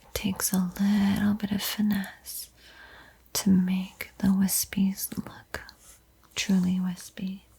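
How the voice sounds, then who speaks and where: A woman whispers painting instructions in a soothing, encouraging ASMR style, with a breathy, airy voice. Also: medium pitch, completely flat delivery, slow, warm tone. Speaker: art tutorial host.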